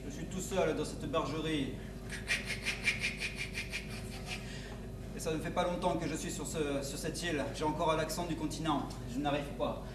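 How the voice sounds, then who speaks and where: A man's voice: on the high side, fairly even intonation, brisk, close to an everyday voice. A man playing a lonely, put-upon newcomer from the mainland in improvised stage comedy, plaintive and hesitant. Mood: glum. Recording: echoey hall from far off, noisy.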